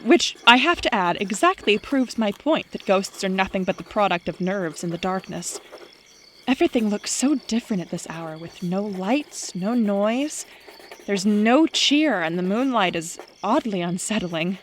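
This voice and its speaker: A woman's voice: mid-range voice, expressive, measured pace, fairly bright tone, a little hiss or hum. A woman acting a skeptical, sarcastic young prankster in an audio drama, with a dry delivery. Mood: uneasy. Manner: dry, sardonic.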